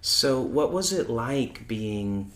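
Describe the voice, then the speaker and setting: A woman's voice: a bass voice, expressive, slow, fairly bright tone. Host, woman, neutral American accent, talkative and giggly, roomy echo. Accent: neutral American, hard to place. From Chicago. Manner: chatty, laughs often, acts out voices. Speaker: podcast host. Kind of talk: casual church interview chat.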